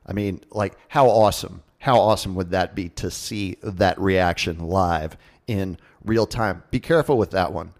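A man's voice: sits low, moderate pace, a little mellow. A man hosting a podcast in a casual, jokey radio-show style with informal asides. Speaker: podcast host.

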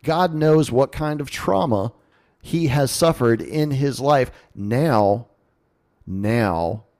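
A man's voice: medium pitch, expressive, slow, darkish tone. A man hosting a radio show, giving informal, sarcastic and mocking commentary on the news. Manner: informal, salty language. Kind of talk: news commentary show, reading and riffing on an article.

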